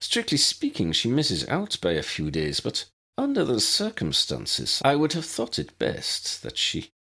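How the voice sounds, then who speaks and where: Give the storybook a stern, elderly head teacher woman, played by a man. The voice is pitched high and swoops as it pronounces. It is brisk, formal and dryly wry. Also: huge pitch swings, gravelly, bright, forceful tone, slightly rough, full character voice. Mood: earnest. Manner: proper, schoolmistressly, pronouncing.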